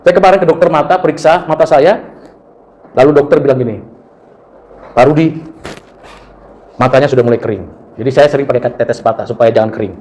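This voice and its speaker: A man's voice: medium pitch, brisk, pauses often. A middle-aged Indonesian man preaching a sermon, telling personal stories and acting out the people in them. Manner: animated storytelling, acts out quoted voices. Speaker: preacher and university lecturer.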